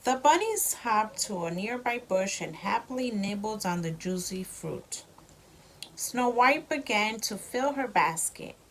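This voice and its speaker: A woman reads a children's story aloud at an unhurried pace. Her pitch moves up and down in a lively, child-directed way, and she adds simple explanations. A light noise sits behind her in an amateur home recording. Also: rough recording. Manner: slow, expressive child-directed delivery.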